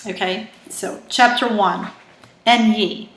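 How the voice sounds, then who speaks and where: A woman with a heavy Brazilian Portuguese accent reads her own thriller aloud, her English careful and halting. She stumbles now and then and goes back to fix a word. Her voice sits at a middle pitch, and it rings in a roomy, echoing space. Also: steady tone, a bit bright. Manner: careful, halting delivery with frequent self-corrections. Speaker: author reading her own novel. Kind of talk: reading a thriller novel aloud. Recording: roomy echo.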